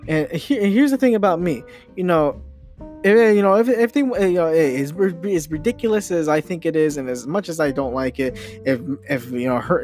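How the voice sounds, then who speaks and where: Young male podcast host, higher-pitched, opinionated and emphatic, stumbling repetitions, guitar bed underneath. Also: darkish tone. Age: young adult. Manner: halting, repeating and restarting phrases, emphatic, mildly sweary. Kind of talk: rambling, opinionated podcast monologue. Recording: guitar music behind the voice. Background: guitar music.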